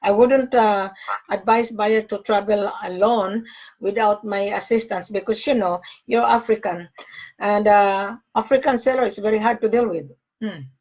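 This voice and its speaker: A woman's voice: mid-range voice, moderate pace, darkish tone. An older woman from the Philippines talks business over a call. She speaks emphatically and repeats her main points. The audio comes through muffled and dull. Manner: emphatic, repeats key phrases. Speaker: gold sales facilitator. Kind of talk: casual call conversation.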